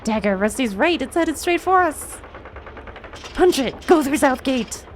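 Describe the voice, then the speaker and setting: A woman's voice: sits high, expressive, unhurried, slight background noise. A woman voicing a cocky young male video game hero who gives urgent, commanding orders.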